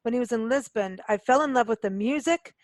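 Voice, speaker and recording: A woman hosting a radio interview, eager and halting, with lots of fillers and repeated words. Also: fairly high pitch, no room sound, clean studio sound. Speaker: radio show host. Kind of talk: casual interview chat.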